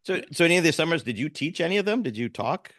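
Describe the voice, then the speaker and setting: A middle-aged podcast co-host from Wisconsin who asks curious questions and reacts in an animated way. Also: medium pitch, racing. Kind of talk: casual conversation.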